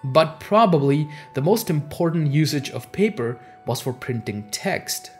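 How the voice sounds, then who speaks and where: A man's voice: on the high side, expressive, measured pace, a little mellow. Young man narrating history over music. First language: Urdu. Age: young adult. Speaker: history channel host. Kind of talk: scripted educational narration. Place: small home office, indoors. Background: background music.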